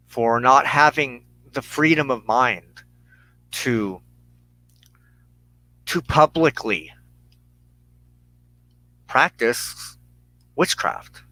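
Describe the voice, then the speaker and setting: An American man giving an earnest personal monologue as a broadcast host, speaking in deliberate, halting phrases with emphatic pauses. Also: medium pitch, bright, forceful tone, rough recording. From United States. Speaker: host of a weekly religious broadcast.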